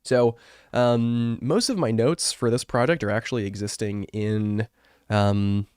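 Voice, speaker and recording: Man with a cold: congested, rough voice, lowish pitch, dry sound, rambling casual stream host. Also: steady tone, measured pace, darkish tone, dry recording. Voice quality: rough, wrecked voice. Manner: rambling and chatty, with many fillers. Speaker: artist and streamer. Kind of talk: casual live-stream chat.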